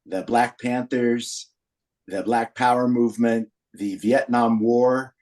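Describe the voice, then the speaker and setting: An American man in his sixties speaks as a guest on a podcast. His voice sits at an average pitch, and he recounts personal history in an earnest, anecdotal manner. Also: fairly even intonation, slow pace, a little mellow. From Berkeley, California, USA. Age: 60s. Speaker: podcast guest, founder of a men's community group. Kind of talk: personal storytelling in a podcast interview.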